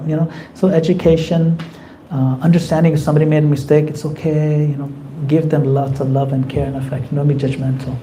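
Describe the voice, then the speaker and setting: A man's voice: on the high side, slight background noise, poor audio. Earnest man leading a devotional class. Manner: earnest, gently advising. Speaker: class leader taking questions. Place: community temple class.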